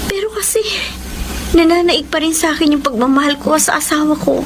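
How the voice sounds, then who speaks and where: Plaintive, high-voiced long-suffering wife. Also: female voice, brisk, a little hiss or hum. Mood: pleading, resigned. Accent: Filipino. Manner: earnest, plaintive. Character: long-suffering, devoted wife and mother, wounded but loyal. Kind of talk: Filipino radio melodrama acting and confessional narration.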